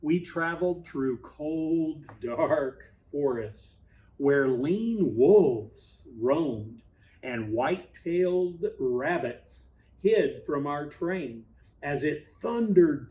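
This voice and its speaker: A man narrates a children's story very slowly, in a slightly higher male register. His phrasing is measured and expressive, with dramatic pauses. The mic sits a little way from him. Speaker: pastor reading a Christmas story aloud. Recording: voice at a distance from mic.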